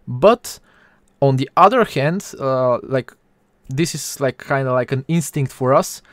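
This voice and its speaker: A man in his thirties with a Bulgarian accent, giving an informal live tutorial in a halting, hesitant way with frequent pauses. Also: mid-range voice, a bit bright, dry recording, clean studio sound. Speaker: 3D artist hosting a stream.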